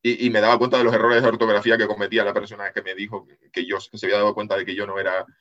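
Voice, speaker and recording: A man's voice: on the low side, level tone, racing, fairly bright tone, lo-fi sound. A man from the Canary Islands with a Canarian accent, a podcast guest chatting casually and repeating words as he tells stories. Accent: Canarian Spanish. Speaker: podcast guest, geopolitics analyst. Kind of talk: casual chat.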